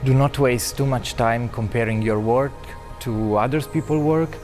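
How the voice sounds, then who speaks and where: A man from Italy, a doctoral researcher, giving earnest recorded interview answers with some halting phrasing. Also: medium pitch, a little mellow, faint music behind. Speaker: PhD researcher.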